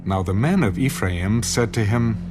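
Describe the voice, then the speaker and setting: Casting: male narrator for dramatized scripture, lowish pitch, solemn and measured, strong music bed. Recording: loud background music.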